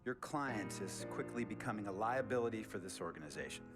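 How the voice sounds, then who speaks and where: A man's voice: fairly low pitch, expressive, fairly quick, close to an everyday voice. An American man playing a stern, blunt, authoritative team owner in a video game cutscene. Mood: stern, fed up. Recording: music behind the voice.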